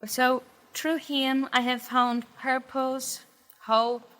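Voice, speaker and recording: A young Brazilian woman tells her faith story in unhurried, carefully chosen English, with her Portuguese accent clear throughout. Her voice sits somewhat high, and she often pauses to find the right word. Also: little pitch movement, fairly bright tone. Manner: slow, careful, searching for English words. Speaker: interview guest. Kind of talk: personal faith testimony.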